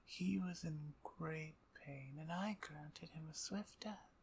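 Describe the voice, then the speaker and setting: Female vampire usurper , played by a woman, ASMR drama: low, unhurried, husky half-whispers, dominant, smug and mockingly courteous. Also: darkish tone, exaggerated voice. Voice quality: breathy, husky. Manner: slow, taunting, mock-courteous, breathy half-whispers. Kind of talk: ASMR audio roleplay, dramatic monologue.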